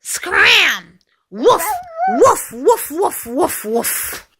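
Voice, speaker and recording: A woman voicing a big, gruff male storybook dog with a growly voice, barking woofs. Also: very high-pitched, very animated, very slow, bright, forceful tone, slight background noise, voice pushed up, heavily acted. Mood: gruff, outraged. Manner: barks woofs between phrases.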